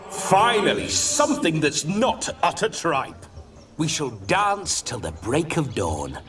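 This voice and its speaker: A man's voice: fairly high pitch, very animated, takes it slowly, fairly bright tone, heavily acted. An actor playing the boisterous, lecherous ghost of a dead nobleman in a video game, speaking in a swaggering, theatrical, archaic lordly way. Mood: impatient. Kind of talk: video game character acting. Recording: music behind the voice.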